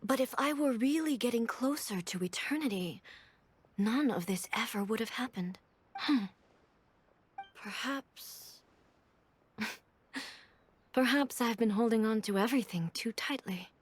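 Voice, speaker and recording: A woman voicing a dignified, earnest female ruler in a video game, speaking in a measured, formal, solemn way. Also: a little high, breathy edge. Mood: solemn, contemplative. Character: regal female ruler, dignified, earnest, puzzled by the modern world. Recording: music under the voice.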